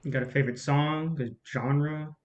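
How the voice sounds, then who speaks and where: A man's voice: a little high, steady tone, moderate pace, lo-fi sound, a little hiss or hum, lightly acted. A man playing a cynical but smitten, inquisitive young man in a naturalistic staged play reading. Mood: probing, playful.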